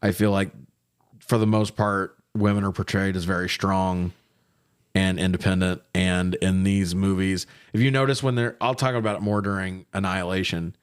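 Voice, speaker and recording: A man with a fairly low voice chats through a film recap as a podcast co-host. His delivery is casual and animated, slipping into slangy swearing and comic exasperation. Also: normal speed, smooth. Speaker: podcast co-host reviewing movies. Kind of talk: casual profane comedic movie recap chat.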